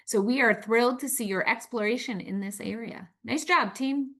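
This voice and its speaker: A woman's voice: a little high, normal speed, fairly bright tone. A woman hosting an awards announcement, reading an upbeat scripted commendation. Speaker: event host announcing winners. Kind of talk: read announcement.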